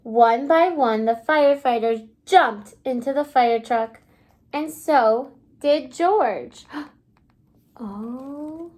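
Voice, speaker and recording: Kindergarten teacher lady reading a kids story, high lively voice, takes it slow and asks questions. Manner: expressive, prompting listeners with questions and pauses. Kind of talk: children's read-aloud lesson with questions.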